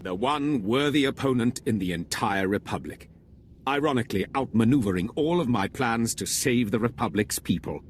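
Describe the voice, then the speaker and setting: A man's voice: medium pitch, lively intonation, a little hiss or hum, close to an everyday voice. Male actor as a zealous imperial warlord: mid-range voice, cold, proud, oratorical game-villain delivery. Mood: disdainful. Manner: haughty, measured, oratorical. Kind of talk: video game villain monologue.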